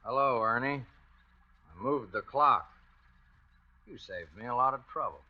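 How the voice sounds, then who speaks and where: A man's voice: mid-range voice, expressive, unhurried. An actor playing a wary, coolly cunning husband and father in a 1940s radio drama. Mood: cool, sardonic. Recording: muffled old radio recording.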